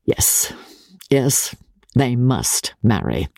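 A woman voicing a dry, rueful older gentleman, a weary father, blunt and wry in a dramatic reading of a classic novel. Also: very deep voice, sing-song, drawn-out words, creaky, dry recording, exaggerated voice.

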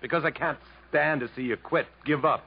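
A man acting in a 1950s radio drama, playing an earnest, world-weary Englishman who speaks in a dramatic, measured way. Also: on the high side, vocal fry, husky. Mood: urgent pleading. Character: earnest, world-weary Englishman narrating his jungle journey. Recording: muffled 1950s radio broadcast, faint music.

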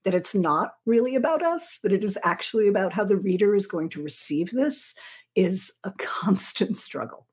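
A woman's voice: medium pitch, lively intonation, moderate pace, husky. A woman speaking reflectively and conversationally as a panelist and teacher. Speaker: panelist, science writing teacher.

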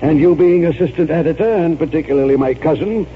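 An actor playing a pompous, stubborn old retired colonel in an oratorical 1940s radio style. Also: male voice, fairly high pitch, quick pace, natural voice. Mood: grandiose, combative. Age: older. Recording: tinny, noisy 1940s radio recording.

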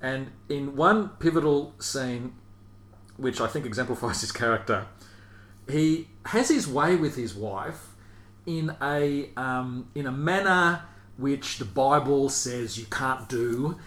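A man's voice: medium pitch, takes it slowly, a bit bright. A man hosting a casual chat podcast, rambling and hesitant as he talks. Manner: rambling, hesitant, chuckles while reading aloud. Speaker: podcast host. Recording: echoey room with faint hiss.

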